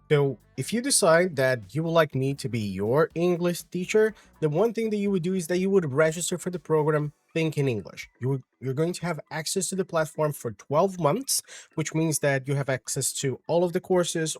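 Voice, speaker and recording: A man's voice: on the high side, no room sound. A man in his forties with a Brazilian Portuguese accent, an English teacher patiently explaining his course step by step.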